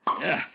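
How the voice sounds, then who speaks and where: An actor playing an effusive old French soldier, gallant and slyly sinister, in florid vintage radio horror style. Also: male voice. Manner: florid, exclamatory, gushing with chuckles. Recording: muffled, noisy old radio recording.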